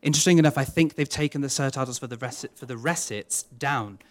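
An opera singer talks through audience questions at an even middle pitch. He is thoughtful and measured, pausing on fillers as he works out his answers. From Wales.

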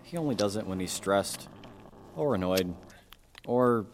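A man's voice: lowish voice, measured pace, big-room sound, slight background noise. An actor playing a sarcastic young apprentice, dry and exasperated with his master. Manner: deadpan, sarcastic. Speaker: apprentice character. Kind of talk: fantasy audio drama acting.

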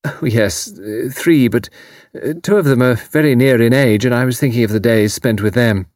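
A man's voice: mid-range voice, normal speed, a little mellow, no echo, studio quality, lightly acted. A man voicing a polite, guarded middle-aged Englishwoman with an English accent and flustered hesitations in an audiobook. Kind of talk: audiobook character voice.

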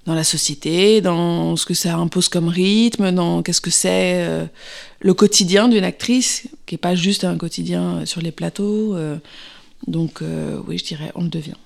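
A woman's voice: fairly low pitch, steady tone, measured pace, creaky. A woman in her thirties, an actress answering interview questions, pregnant, speaking hesitantly with many fillers and restarts.

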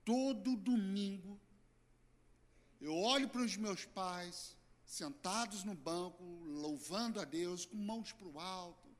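Hoarse middle-aged Brazilian pastor, fairly high voice, earnest sermon swinging loud to soft. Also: male voice, slow. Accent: Brazilian Portuguese. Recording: church hall reverb.

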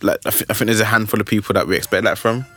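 A young man hosting a podcast, chatting casually about music in a rambling, animated way with lots of restarts. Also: lowish voice, racing. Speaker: podcast host.

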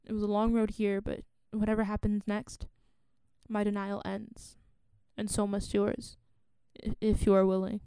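Actress as a solemn, resolute woman, smooth voice. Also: mid-range voice, monotone, warm tone, no echo, close to an everyday voice. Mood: solemn, resolved. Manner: measured, earnest, declarative. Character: solemn, resolute woman confessing a long-hidden identity and grief. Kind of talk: radio play acting.

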